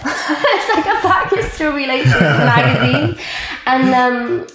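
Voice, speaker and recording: Welsh woman, young adult, native Welsh speaker; casual guest chat, gravelly tone, echoey room. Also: a little high. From Wales. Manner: chatty, lots of "like" fillers. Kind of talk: casual conversational interview.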